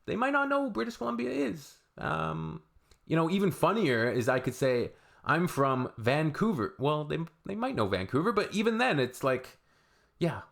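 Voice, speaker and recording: A man's voice: fairly high pitch, very animated, normal speed, creaky, a bit bright. A man, hosting a reaction video in a loose, rambling way with shrugging asides. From Canada. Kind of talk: casual reaction commentary.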